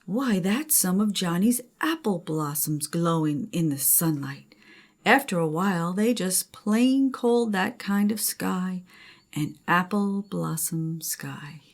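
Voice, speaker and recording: A woman's voice: fairly low pitch, expressive, takes it slowly. An older woman, a grandmother, reading a children's storybook aloud.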